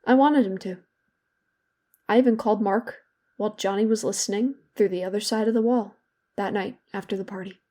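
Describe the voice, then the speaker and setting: A woman's voice: mid-range voice, brisk, dark tone, natural voice. A young woman playing a guilt-ridden, bitter therapy patient in a confessional, self-loathing audio drama monologue. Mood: bitter, defiant. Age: young adult.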